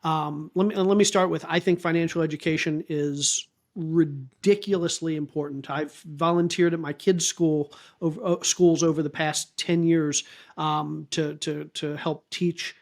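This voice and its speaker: A man's voice: fairly high pitch, steady tone, measured pace. A man from Baltimore in a podcast discussion, sounding earnest and conflicted. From Baltimore, United States. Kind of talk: casual discussion.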